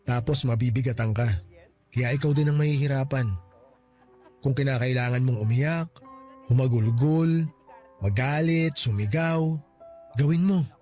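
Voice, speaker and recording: A man playing a gentle, reassuring male friend. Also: medium pitch, unhurried, long pauses, close to an everyday voice. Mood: comforting. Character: supportive joking male friend of the grieving man. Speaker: close friend. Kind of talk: radio drama acting. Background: soft music.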